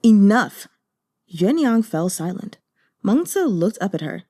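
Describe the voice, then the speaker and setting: Female audiobook narrator, mid pitch, mellow, measured delivery. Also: very animated, breathy edge. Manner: measured, deliberate storytelling. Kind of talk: scripted narration of a novel translation.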